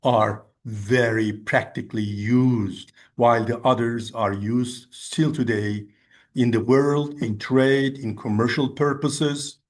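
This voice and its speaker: Turkish-accented man, academic presenter, deliberate pace, mid-pitched voice, rephrases as he goes. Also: fairly even intonation. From Turkey. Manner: measured, restates and rephrases with "I mean". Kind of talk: conference presentation.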